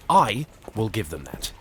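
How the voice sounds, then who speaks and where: Eager, animated period journalist. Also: male voice, fairly low pitch, slow pace, a little hiss or hum, heavily acted. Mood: gleeful, glib. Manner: glib, theatrical, easily flustered. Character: eager, wry, excitable 18th-century journalist and publisher. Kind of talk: period audio drama acting.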